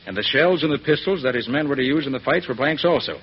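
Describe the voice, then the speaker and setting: An actor playing a confident master detective in a 1940s radio drama, brisk, authoritative and theatrical. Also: male voice, mid-range voice, lightly acted. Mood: firm, accusing. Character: confident male master detective exposing a villain. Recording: muffled old 1940s radio recording, faint hiss.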